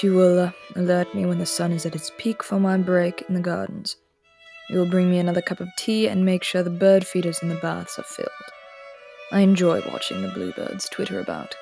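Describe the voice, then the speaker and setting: A woman voicing an aloof, aristocratic immortal prince in an audio roleplay drama, speaking in a cool, commanding tone with soft murmured asides. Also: lowish voice, gravelly. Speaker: voice actor.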